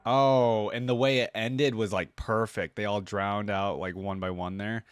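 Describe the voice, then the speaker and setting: A man's voice: on the low side, flat delivery, unhurried, clean tone, no echo. A man hosting a reaction video, thinking aloud in casual, informal commentary. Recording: music playing behind the voice.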